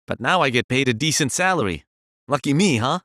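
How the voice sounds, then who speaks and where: Easygoing game care worker, played by a man: average pitch, casual talk, close dry recording. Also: animated, brisk, fairly bright tone, no echo, close to an everyday voice. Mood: smug, pleased. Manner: casual, conversational.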